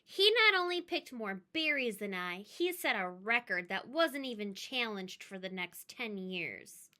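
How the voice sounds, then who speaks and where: A woman reading a children's story aloud in an expressive, emphatic way, with chatty asides. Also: mid-range voice, a little slow.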